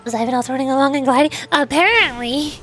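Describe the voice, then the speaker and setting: A woman's voice: sits high, quick pace, fairly bright tone, soft background music. A woman streamer chatting her way through a live game, rambling and stumbling as she explains things. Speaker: VTuber streamer. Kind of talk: live game stream commentary with read-aloud voice acting.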